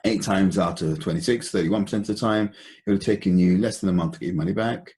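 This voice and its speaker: A man with a low, gravelly voice gives an informal investment briefing. He explains things conversationally and deliberately, often halting as he goes, in a slightly dark, mellow tone. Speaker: investment presenter hosting a conference call. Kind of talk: informal stock analysis talk.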